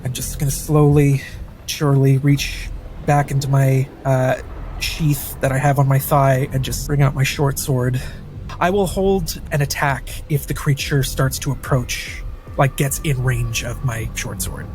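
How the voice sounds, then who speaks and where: A man with a mid-pitched, very even voice and faint music behind him. He plays a tabletop game, talking casually through his character's actions. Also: unhurried.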